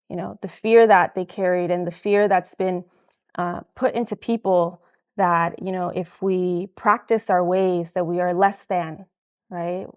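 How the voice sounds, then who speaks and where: Earnest, low-voiced woman guest. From El Salvador. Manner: earnest, thoughtful, many "you know" fillers. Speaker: guest herbalist. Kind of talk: reflective interview answers.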